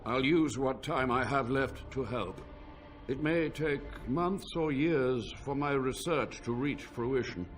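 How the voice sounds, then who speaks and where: An actor playing an ancient male mage, a proud and unrepentant scholar, speaking slowly and gravely in a video game cutscene. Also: medium pitch, faint music behind, close to an everyday voice. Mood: weary. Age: older. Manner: slow, grave, measured delivery. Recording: game audio with steady ambient noise.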